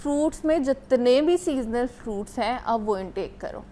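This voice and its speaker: A woman doctor with a Pakistani Urdu accent speaks on a studio health show. She explains step by step and mixes English words into her speech with plenty of fillers, and her voice sits slightly high. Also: animated, moderate pace, a crowd in the background.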